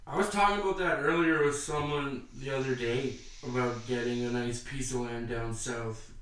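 A man's voice: medium pitch, fairly even intonation, normal speed, fairly bright tone. Distant man in a very echoey room. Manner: crude, joking. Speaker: podcast co-host. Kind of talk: casual chat.

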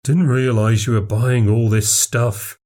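A man's voice: mid-range voice, fairly even intonation, a little slow, dark tone, close to an everyday voice. A man voicing a middle-aged father whose simmering rage boils over, harsh and accusing. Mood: tense. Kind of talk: audiobook character voice.

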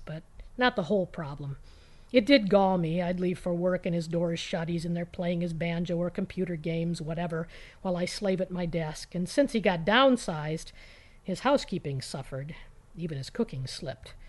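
Female narrator, lower register, for first-person short fiction; conversational, wry delivery with punchy emphasis. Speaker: author reading own short story.

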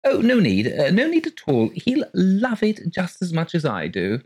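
A man's voice: high voice, huge pitch swings, measured pace, darkish tone, husky, no echo, pitched above the performer's own voice, exaggerated voice. A man voicing a gushing, fluttery middle-aged woman who is eager to rent rooms. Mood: effusive. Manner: effusive, breathless, fluttery.

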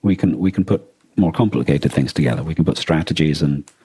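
Male podcast co-host, dark husky tone in a dry close recording, reflective and halting. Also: a bass voice, dry recording. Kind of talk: reflective conversational discussion.

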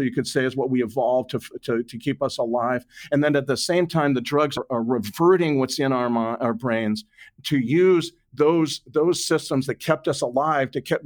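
A man with a mid-pitched voice talks as an interview guest. He explains things off the cuff in a halting way, doubling back on words and restarting phrases as he works through his thought. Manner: halting, repeats and restarts phrases while reasoning aloud. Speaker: interview guest, author and journalist. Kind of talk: unscripted explanatory interview talk.

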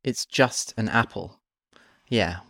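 A man hosting a conversation, calmly guiding his guest through imaginative exercises with slow, listed prompts. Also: on the low side, vocal fry, no room sound. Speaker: host.

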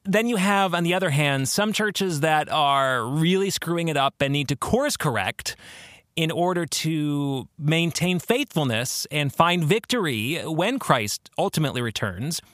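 A man hosting a podcast, explaining theology in a conversational, earnest way with expressive emphasis. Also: a little high, a little slow, dry recording, studio quality. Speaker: podcast host.

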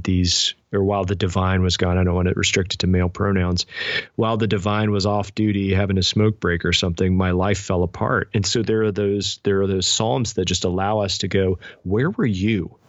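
A middle-aged man with a low voice talks thoughtfully in an interview, illustrating his points as he goes. The recording is dry and close, with a slightly dull top end. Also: no room sound. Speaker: podcast guest, spiritual director and author. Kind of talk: reflective interview conversation.

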